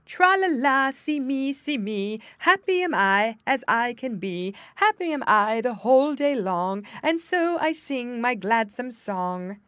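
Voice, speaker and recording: A woman's voice: fairly high pitch, expressive, slow, hardly any pauses, a bit bright, no echo, clean studio sound, pitched above the performer's own voice. A woman voicing a joyful male songbird singing a little tune in a storybook reading. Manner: sing-song.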